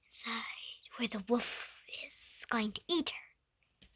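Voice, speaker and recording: Young girl storybook reader, high voice, unhurried, stumbles and self-corrects, playful asides. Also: fairly bright tone, in a whisper. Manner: stumbling over words and correcting herself. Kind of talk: reading a picture book aloud with playful asides.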